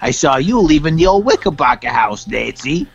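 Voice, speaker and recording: An adult performer puts on a twelve-year-old street urchin who shines shoes in a spoof radio drama. The delivery is wheedling and highly animated, full of sly innuendo. The sound is lo-fi with music underneath. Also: moderate pace, vocal fry, breathy edge, full character voice. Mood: sly, insinuating. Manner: insinuating, eager, melodramatic. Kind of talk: improvised radio drama parody.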